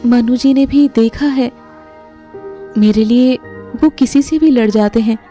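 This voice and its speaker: A young woman playing a sweet, trusting unwed mother in an audio drama, speaking softly and earnestly. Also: a little high, unhurried, soft background music, natural voice. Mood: earnest, reassuring. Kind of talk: Hindi audio drama acting.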